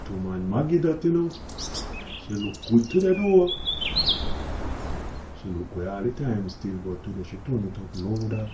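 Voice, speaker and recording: A man's voice: fairly low pitch, expressive, moderate pace, long pauses, highs cut off, heavy background noise, lightly acted. An actor playing a cheeky, streetwise, hot-tempered Jamaican man in a radio drama, bantering colloquially in Patois. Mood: flirty, teasing. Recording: echoey room with music behind.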